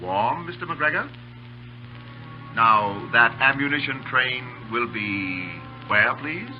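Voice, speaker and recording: A man's voice: mid-range voice, expressive, slow pace, faint music behind. An actor playing a courtly, mock-polite Indian khan who menaces his captives in old-time radio drama. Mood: sinister calm. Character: suave, menacing Indian khan who captures and tortures officers. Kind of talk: 1930s radio drama acting. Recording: dull, noisy old radio broadcast with hum.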